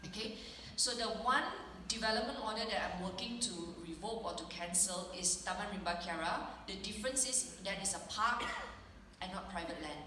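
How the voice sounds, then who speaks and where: A woman's voice: mid-range voice, pushed and bright. A woman with a Malaysian accent giving a town hall speech as an elected representative, emphatic and plain-spoken. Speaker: elected representative addressing constituents. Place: large indoor hall at a public meeting. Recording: very echoey hall, distant, faint noise.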